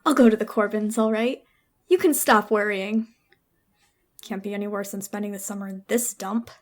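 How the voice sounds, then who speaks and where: A woman's voice: medium pitch, fairly even intonation, moderate pace, lightly acted. An actress playing a bitter, sulky teenage girl in an old-time radio melodrama, with a dramatic, accusing manner. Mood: sullen resignation. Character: bitter, petulant teenage girl narrating her grievances.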